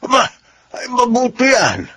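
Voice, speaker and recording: An actor playing a kindly old Filipino grandfatherly benefactor in a radio drama, encouraging and fatherly, with a creaky voice. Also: very high voice, huge pitch swings, a little slow, bright, forceful tone, slight background noise, full character voice. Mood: pleased, welcoming. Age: older.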